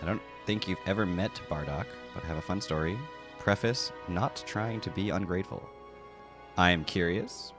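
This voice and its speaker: A man's voice: sits low, normal speed, over music. A man chatting casually as a co-streamer on a live game stream. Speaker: co-streamer voicing game characters.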